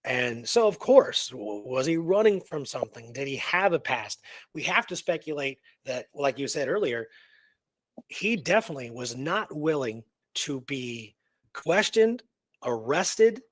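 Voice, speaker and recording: A man's voice: medium pitch, sing-song, a little slow, fairly bright tone, no room sound. A man co-hosting a radio show in casual discussion, musing aloud with rhetorical questions and tags seeking agreement. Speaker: co-host of a history radio show. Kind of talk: casual conversational discussion of a historical mystery.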